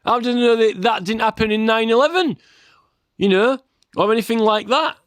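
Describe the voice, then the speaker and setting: High-pitched man, rambling and aggrieved. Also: normal speed, a bit bright, clear, resonant tone, no room sound. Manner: aggrieved, insistent, repeatedly tags points with "right?". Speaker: complainant campaigning about aviation safety. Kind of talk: rambling informal spoken monologue.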